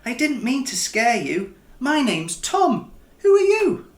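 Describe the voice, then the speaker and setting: A man voicing a polite, earnest little boy with a gentle manner in a children's picture book. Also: extremely high pitch, huge pitch swings, takes it slowly, echoey room, pitched above the performer's own voice, heavily acted. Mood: gentle, curious.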